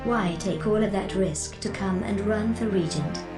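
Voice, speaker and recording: A woman's voice: medium pitch, a little slow. A woman narrating a scripted episode recap as the show's narrator. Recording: roomy echo under loud music. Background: loud music.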